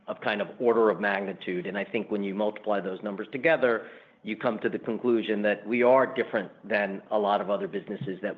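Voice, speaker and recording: A man speaking as a company executive, explaining the business emphatically and semi-formally in answers on an earnings call. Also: on the low side. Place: conference call. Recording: muffled, band-limited conference call line.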